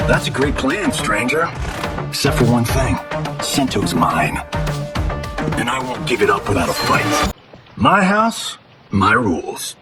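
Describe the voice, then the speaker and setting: An actor playing a cocky, flippant Hollywood action star in a video game, with a sarcastic, theatrical delivery. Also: male voice, medium pitch, big pitch swings, unhurried, pauses often, over loud music. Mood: defiant. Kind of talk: cinematic video game acting.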